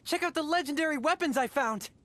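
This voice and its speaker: A woman voicing an earnest, excitable, wide-eyed child adventurer in a video game. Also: high-pitched, fast talker, soft background music. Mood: delighted, grateful.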